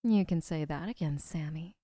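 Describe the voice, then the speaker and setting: A young woman voicing an adoring, obsessive female kidnapper who gushes softly and often whispers. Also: low-pitched, moderate pace, no echo, clean studio sound. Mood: gushing. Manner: soft, gushing, often whispering. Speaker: voice actor. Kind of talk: audio roleplay.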